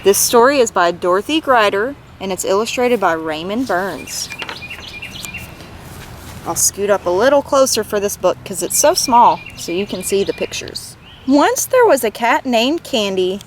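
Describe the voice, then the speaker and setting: A woman reading a storybook aloud to children in an expressive, gentle way, as a read-aloud host. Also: mid-range voice, measured pace, slight background noise.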